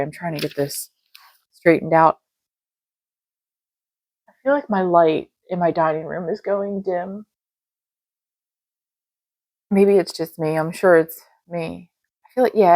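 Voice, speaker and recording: A woman's voice: fairly low pitch, dry recording. A middle-aged woman hosting her own podcast from her dining room, chatting in a rambling way with lots of fillers, a little nasal and congested. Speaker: podcast host. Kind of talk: casual solo podcast chat.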